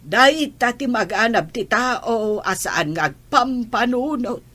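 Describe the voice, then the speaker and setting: A woman's voice: on the high side, lively intonation, takes it slowly, fairly bright tone, exaggerated voice. A Filipino woman playing an older mother admonishing her grown daughter in a radio drama. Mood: scolding.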